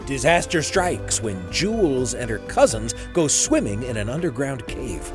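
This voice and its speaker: A man's voice: mid-range voice, sing-song, a little slow, over music. A man hosting a podcast with friendly, enthusiastic scripted narration. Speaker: podcast host.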